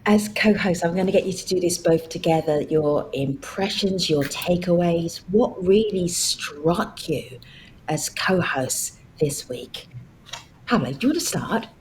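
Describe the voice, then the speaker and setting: A woman's voice: fairly low pitch, expressive, unhurried, slight background noise, poor audio. A woman hosting a virtual conference, addressing the audience directly and repeating words for emphasis. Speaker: event host, moderator. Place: virtual event link.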